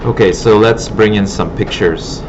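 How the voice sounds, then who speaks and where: Deep-voiced man teaching, muffled noisy recording. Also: a little slow. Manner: informal, thinking aloud while working. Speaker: art instructor. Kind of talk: casual classroom demo with running commentary. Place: classroom at an art academy. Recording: muffled, noisy broken classroom audio.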